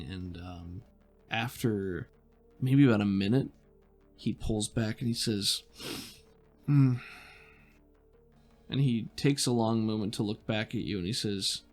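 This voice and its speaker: A man's voice: lowish voice, vocal fry. A man narrating an improvised tabletop roleplaying game as game master, describing scenes and giving rulings.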